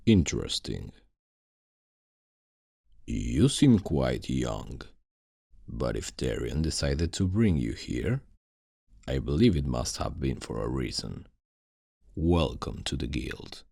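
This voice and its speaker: Man acting old thieves' guild elder, extremely low husky voice, slow and measured, calm authority, fantasy ASMR roleplay. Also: no echo, studio quality, exaggerated voice. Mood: curious, measured. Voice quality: gravelly, husky. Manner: measured, formal, fatherly.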